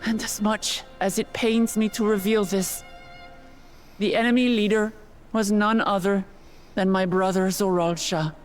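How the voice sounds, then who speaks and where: An actress voicing a dignified, resolute young royal woman in a video game, with solemn, measured delivery. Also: medium pitch, steady tone, faint music behind, lightly acted. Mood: solemn grief.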